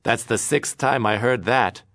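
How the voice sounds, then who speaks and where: Male reader as brash, boorish meat man, loud and sneering; dry read. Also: mid-range voice, a little slow, no echo, voice pushed up. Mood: mocking. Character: blustering bigoted male meat man, middle-aged.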